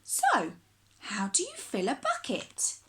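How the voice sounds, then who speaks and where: A woman reading a children's picture book aloud like a primary teacher, with expressive, deliberate phrasing for young listeners. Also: on the high side, very noisy.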